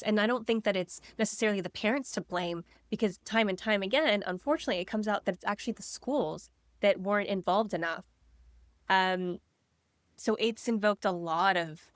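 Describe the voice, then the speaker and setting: A young woman co-hosting a podcast, slightly raspy from a cold, talking earnestly and pushing back. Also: mid-range voice, no room sound. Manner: earnest, quick to push back. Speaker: co-host. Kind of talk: casual chat.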